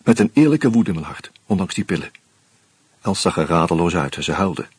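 An actor narrating in first person as a weary man on pills, in a husky voice with tense, terse sentences. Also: low voice, sing-song, a little fast, no room sound, full character voice. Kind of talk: radio play narration.

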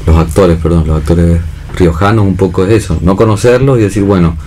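A man's voice: deep voice, a little fast, mellow, slight background noise. An Argentine man reflecting aloud in an unscripted discussion, searching for words and often checking with tag questions. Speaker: theatre director and actor, panel guest.

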